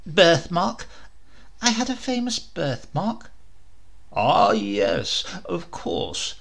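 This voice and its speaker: A boastful talking parrot blusters and bluffs through a comic storybook tale, as a man voices him. The voice is bright and lively, swooping up and down, with a gravelly edge. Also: fairly high pitch, extremely slow, exaggerated voice. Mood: blustering.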